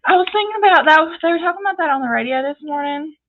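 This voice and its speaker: A woman's voice: high voice, animated, very fast. A woman with a North Louisiana Southern accent, chatting casually as she demonstrates a painting craft. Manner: chatty, explains as she paints, frequent fillers. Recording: tinny phone-like sound.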